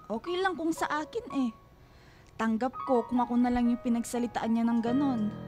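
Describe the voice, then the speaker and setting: Woman acting anguished Filipino mother, high voice, emphatic and protective, radio drama, music bed. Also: moderate pace, close to an everyday voice. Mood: anguished, guilty. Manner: emphatic, exclamatory, pleading. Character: fiercely protective, anguished mother of a grown daughter.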